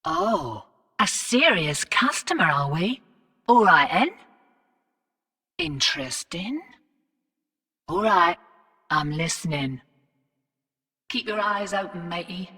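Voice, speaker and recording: An actress playing a brash, wry, streetwise space-colony fixer in a video game, with a laconic, cocky, slangy drawl. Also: female voice, on the low side, big pitch swings, slow pace, vocal fry, pauses often, bright, forceful tone, breathy edge, big-room sound, far from the mic, full character voice. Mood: wry, knowing. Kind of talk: scripted video game character dialogue.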